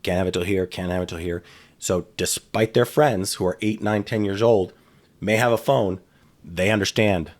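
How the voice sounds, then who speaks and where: A man's voice: low-pitched, moderate pace. A middle-aged man talking casually in an interview, rambling with frequent restarts and fillers. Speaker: guest, former FBI agent.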